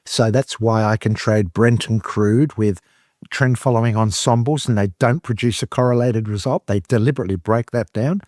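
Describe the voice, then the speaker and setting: A man, a podcast guest explaining his views in a measured, deliberate way. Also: mid-range voice, a little mellow, no echo, clean studio sound. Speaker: guest expert. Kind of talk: conversational interview.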